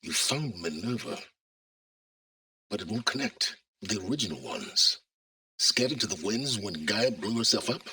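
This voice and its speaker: Aloof game-character intellectual, very raspy, low-pitched, formal, sardonic, calculating. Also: male voice, sing-song, sharp tone, exaggerated voice, poor audio. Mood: calm, resigned. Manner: measured, formal, condescending, sardonic. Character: cold, calculating, aloof male scholar, middle-aged or older. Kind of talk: scripted video game voice acting.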